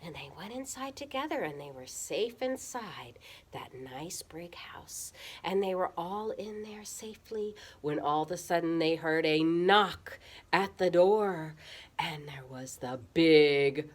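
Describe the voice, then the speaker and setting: A woman telling a children's puppet-show story in a dramatic, expressive way that can drop into a hush. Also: fairly low pitch, measured pace, breathy edge. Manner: dramatic, expressive, drops into hushed whispers.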